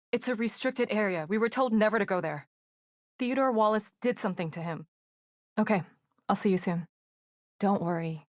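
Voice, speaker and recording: An actress playing a composed, clinical and earnest woman psychologist in a horror game, with precise, measured wording. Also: medium pitch, no echo, studio quality, natural voice. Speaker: video game voice actor. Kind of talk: scripted game dialogue acting.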